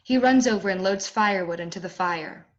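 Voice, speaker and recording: A woman's voice: medium pitch, fairly quick, lo-fi sound. A woman reading stage directions as scripted narration for a play reading.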